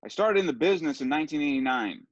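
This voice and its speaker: A man's voice: fairly high pitch, expressive, fast, no echo. A middle-aged man from Southern California tells his story in a casual interview, wandering and restarting as he goes. The sound is close and dry, with a slightly dull, muffled tone. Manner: rambling, with many restarts and fillers. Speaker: sports broadcaster guest.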